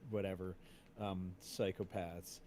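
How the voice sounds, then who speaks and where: A man co-hosting a casual chat, speaking in a rambling, halting way. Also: sits low, level tone, dark tone. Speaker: show co-host. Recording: faint background noise.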